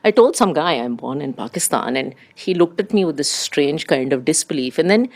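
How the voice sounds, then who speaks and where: Animated Indian woman with a lowish voice. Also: normal speed. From India, raised abroad in diplomatic postings. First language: Urdu. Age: middle-aged. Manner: animated, anecdotal, acts out quoted remarks. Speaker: interview guest. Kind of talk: conversational personal storytelling.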